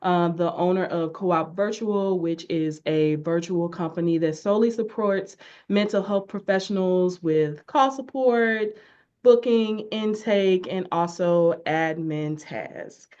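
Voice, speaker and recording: A woman's voice: lowish voice, slow pace. Chatty woman hosting, slightly muffled sound. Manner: warm, rambling, self-correcting with many fillers. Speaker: podcast host and business owner. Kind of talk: casual interview chat.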